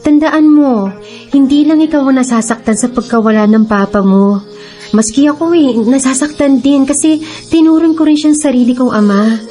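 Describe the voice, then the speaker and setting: A woman plays a devoted young Filipina girlfriend in a radio drama. Her high voice is tender, earnest and soothing, and light music plays behind her. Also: normal speed, warm tone, natural voice. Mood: consoling.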